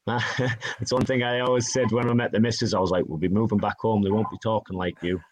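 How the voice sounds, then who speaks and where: A man from the of England with a low voice talks casually in an interview. He tells long anecdotes and quotes other people's lines back in dialogue. His tone is easygoing and self-deprecating. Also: little pitch movement, brisk, slight background noise. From Northeast England. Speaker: guest, hockey player.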